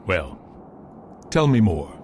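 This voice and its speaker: A man playing a curious gentleman friend in an amateur radio drama, prompting with unhurried questions. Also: low voice, very animated, slight background noise, heavily acted.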